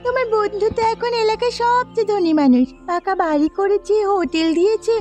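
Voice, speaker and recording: A woman acts out a sharp-tongued young village wife from a cartoon. Her voice is very high and her manner nagging and melodramatic, with music behind it. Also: a little fast.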